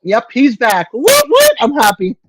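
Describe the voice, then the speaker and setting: An older woman hosting a casual livestream chat from home, rambling and halting with lots of fillers and restarts. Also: fairly high pitch, huge pitch swings, a little hiss or hum. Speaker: livestream host. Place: home room with a fan. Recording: dull lo-fi stream audio.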